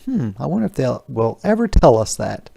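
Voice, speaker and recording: A man reading a picture book aloud as a teacher would to young children, expressive, explaining and questioning. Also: mid-range voice, moderate pace, vocal fry, husky.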